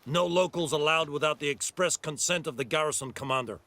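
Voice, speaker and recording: An actor playing a stern, officious military guard in a fantasy game, speaking with formal authority. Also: male voice, on the high side, a little fast, bright, forceful tone, no echo. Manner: formal, barring the way. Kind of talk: video game acting.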